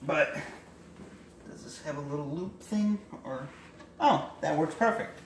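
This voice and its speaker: A man's voice: on the high side, measured pace, slight background noise. A young man casually showing a recipe at home, chatting and correcting himself as he goes, filmed on a phone in his kitchen. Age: young adult. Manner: rambling, self-correcting, chatty asides. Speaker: cooking vlogger. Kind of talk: casual cooking demo. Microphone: handheld phone camera. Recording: echoey kitchen with faint clatter.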